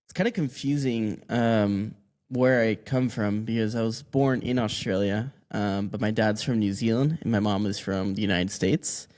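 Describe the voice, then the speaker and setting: A man in his twenties who grew up in Mexico, telling his story as a podcast guest in a relaxed, rambling way with many restarts. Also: lowish voice, moderate pace. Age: 20s. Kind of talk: casual interview answers.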